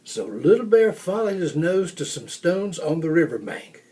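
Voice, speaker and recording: An older man reading a children's story aloud in an expressive storytelling manner. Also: a little high, moderate pace. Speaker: storybook reader.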